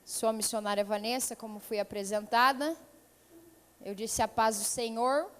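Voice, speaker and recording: A high-pitched woman with a São Paulo accent preaches as a missionary in a fervent Pentecostal style. She moves from calm greetings to loud, urgent exhortation. Her voice rings through a church hall with a long reverb. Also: moderate pace. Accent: São Paulo (paulista). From São Paulo state, Brazil. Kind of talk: Pentecostal sermon.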